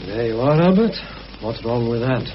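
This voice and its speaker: A sardonic, unruffled male plotter, played by a radio actor, speaking bluntly and dryly at a middling pitch. The old radio drama recording is dulled, with a little hiss. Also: expressive, moderate pace, dull audio, lightly acted. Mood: calm. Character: cool, bitterly sardonic ex-prisoner-of-war plotter. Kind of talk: British radio drama acting.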